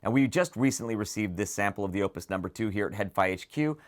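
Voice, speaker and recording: A man's voice: lowish voice, expressive, fast talker. A man hosting a product preview, speaking to viewers in a detailed, enthusiastic, semi-scripted way. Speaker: presenter of an audio gear preview show.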